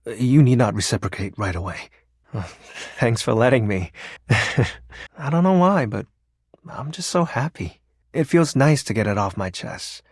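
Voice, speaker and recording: A man's voice: medium pitch, expressive, a little slow, slightly rough, no echo, clean studio sound. An actor voicing a shy, sentimental, lonely young male shadow in a video game, speaking hesitantly and earnestly. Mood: shy, confessing. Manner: hesitant, soft-spoken, earnest. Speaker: datable game character. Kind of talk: video game character voice acting.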